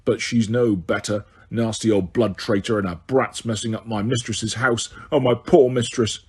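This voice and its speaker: Man voicing a bitter old house elf for an audiobook: low hoarse mutter, croaky, slightly husky. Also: steady tone, normal speed, darkish tone. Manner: muttering under the breath.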